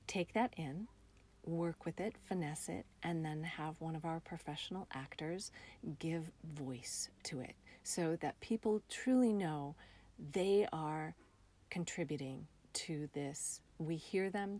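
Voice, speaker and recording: Woman, slightly low voice, theatre artist as podcast guest, conversational explaining. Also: a little mellow, a little hiss or hum.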